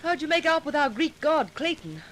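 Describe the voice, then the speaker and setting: An actress playing a concerned, sharp-eyed woman who assists a detective, earnest and inquisitive in 1940s radio drama. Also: high voice, very animated, moderate pace. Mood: curious. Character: concerned, sharp-eyed female companion of a sleuth. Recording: noisy vintage 1940s radio recording.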